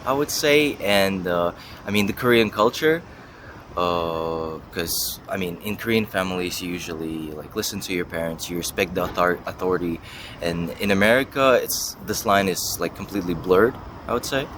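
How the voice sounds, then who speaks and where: Low-voiced young man, noisy street. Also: normal speed. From Russia and the US. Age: young adult. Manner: hedging, thinking aloud. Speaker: university student being interviewed. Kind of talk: casual interview answers.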